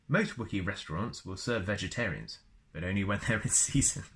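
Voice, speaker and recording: Bright-voiced man reading aloud. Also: on the low side, animated, brisk. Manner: chatty, wanders into personal asides. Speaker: storyteller reading books aloud. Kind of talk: read-aloud with casual asides.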